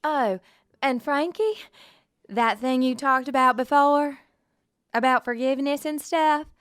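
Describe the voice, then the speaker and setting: A woman's voice: high-pitched, a little slow, fairly bright tone, smooth. A woman playing a sweet, innocent young pageant girl with a Southern US accent, gushing in an audio drama. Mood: grateful, sincere. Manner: breathless gushing, repeats herself.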